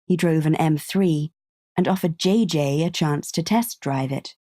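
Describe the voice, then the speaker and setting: A woman reads a scripted story with a measured, understated delivery. Her voice is low and soft-toned, and she takes her time. It is recorded close and dry. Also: no echo. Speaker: narrator.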